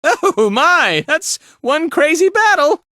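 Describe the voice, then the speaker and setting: A man's voice: very high-pitched, sing-song, slow, sharp tone, no room sound, studio quality, full character voice. A man playing a spirited, laid-back fighter and guide in a video game, with a breezy, colloquial way of talking. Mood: excited, urgent. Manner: breezy, colloquial, tagging lines with casual check-ins. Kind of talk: animated video game voice acting.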